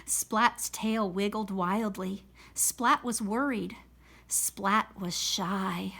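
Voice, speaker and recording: A woman reading a children's picture book aloud in a slow, expressive storytelling manner. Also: medium pitch, gravelly. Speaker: storybook reader. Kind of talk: children's read-aloud narration.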